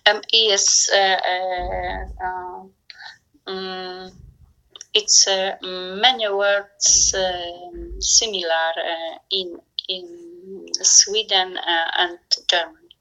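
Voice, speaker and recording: A woman's voice: on the low side, flat delivery, drawn-out words, sharp tone, low-quality recording. A woman with a Polish accent, a native Polish speaker, hesitantly searching for her words in a casual conversation practice, with many fillers. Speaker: language learner.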